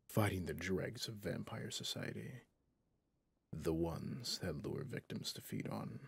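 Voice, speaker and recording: A centuries-old male vampire hunter, acted by a man, stern and heavy with guilt, confides in an ASMR roleplay. His voice is very husky and breathy, often sinking toward a whisper. He speaks slowly and haltingly, very close and dry. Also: deep voice, no echo, heavily acted. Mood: grim, guilty. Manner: slow, halting, solemn, half-whispered.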